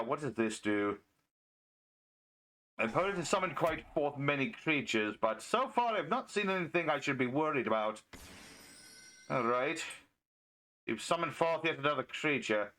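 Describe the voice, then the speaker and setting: Higher-pitched man as a pompous medieval knight. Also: a bit bright. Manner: pompous, mock-archaic chivalrous speech. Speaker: gaming video host and player. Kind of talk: in-character card game commentary as a medieval knight.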